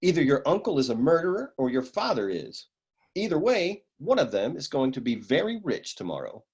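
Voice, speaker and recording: A man plays a hard-boiled private eye in a parody noir radio play. His voice is husky and rough, swinging widely in pitch as he delivers wisecracks with a deadpan air. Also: fairly quick, full character voice. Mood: wry, dismissive.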